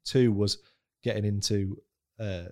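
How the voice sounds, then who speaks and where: A man's voice: on the low side, fairly even intonation, measured pace, darkish tone. A man interviewing a guest in casual conversation, blunt and swearing freely.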